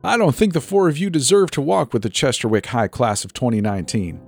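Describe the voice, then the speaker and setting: A man voicing a stern, scornful woman who is a high school principal, scolding and spitting out words in a dramatic story reading. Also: a little fast, vocal fry, darkish tone, husky, faint music behind.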